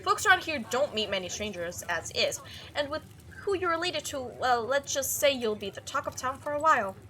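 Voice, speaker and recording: A woman's voice: high-pitched, expressive, brisk, fairly bright tone. A woman voicing a chatty, teasing young small-town woman in a visual novel, with a playful, folksy, rambling manner. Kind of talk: visual novel character read aloud.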